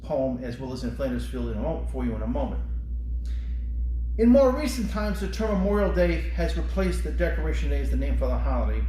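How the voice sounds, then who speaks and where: Higher-voiced American man in an echoey room. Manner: solemn, earnest, formal. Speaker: librarian presenting a holiday reading. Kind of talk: prepared commemorative speech, read aloud. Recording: echoey room with faint background noise.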